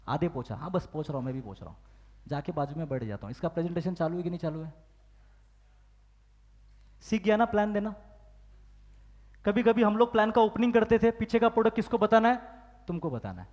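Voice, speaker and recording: A man's voice: sits high, lively intonation, a little fast, long pauses, muffled recording. A man giving a motivational business training talk, firing questions at the audience and acting out phone calls and excuses. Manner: asks the crowd questions and demands loud answers. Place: large hall with live audience. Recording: long hall reverb.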